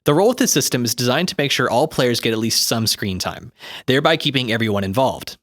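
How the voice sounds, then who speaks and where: A man's voice: medium pitch, expressive, quick pace, a bit bright, dry recording, studio quality. A man reading scripted explanatory narration in an articulate, instructive way, like an audiobook of a guide. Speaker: co-host reading game rulebook commentary.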